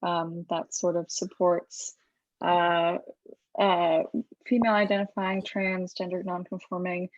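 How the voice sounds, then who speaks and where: A woman's voice: on the low side, flat delivery, takes it slowly, no room sound. A woman informally moderating a Q&A, a little hesitant as she searches for words. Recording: dull lo-fi audio.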